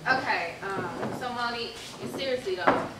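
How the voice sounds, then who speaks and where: A woman's voice: a little high, normal speed, natural voice. Woman acting sassy skeptical girlfriend, distant and noisy. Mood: incredulous. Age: young adult. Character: skeptical, sassy young best friend. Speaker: stage actor. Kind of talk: live stage play acting, casual dialogue. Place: indoor theater stage. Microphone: far camera. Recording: echoey theater, distant mic, loud noise.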